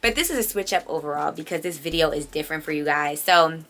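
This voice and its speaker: A woman in her late twenties speaks in a bright, slightly low voice with a touch of congestion. She chats casually as if to her channel's followers, emphatic and full of slangy asides. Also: fast, a little hiss or hum. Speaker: vlogger hosting own channel. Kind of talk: casual confessional chat to camera.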